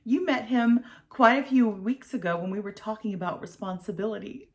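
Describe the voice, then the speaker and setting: A woman's voice: mid-range voice, steady tone, normal speed. A woman hosting a children's storytime, explaining things simply and reassuringly for young listeners.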